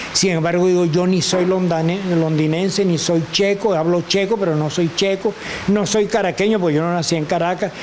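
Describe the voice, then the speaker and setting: An older man with a Venezuelan accent, a guest telling animated stories and acting out the voices of people he quotes. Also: sits high, slight background noise. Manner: expressive, acts out quoted voices, laughs often. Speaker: guest, actor and teacher. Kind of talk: animated storytelling.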